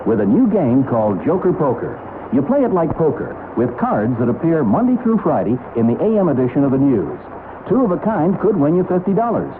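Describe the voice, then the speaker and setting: A man's voice: fairly high pitch, sing-song, fairly quick. An American man reading a scripted promotional spot as a 1970s radio commercial announcer. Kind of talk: 1970s radio advertising read. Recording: noisy narrow-band 1970s radio recording.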